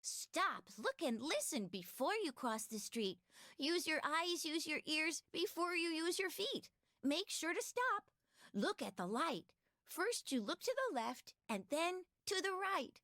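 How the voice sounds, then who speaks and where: An eager child in a preschool game. The high voice bounces up and down through instructive rhymes. The sound is dry and close. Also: female voice, big pitch swings, unhurried, fairly bright tone, dry recording, heavily acted. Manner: instructive, sing-song. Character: bright-eyed cartoon child giving safety and nature tips. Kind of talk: scripted rhyming children's game narration.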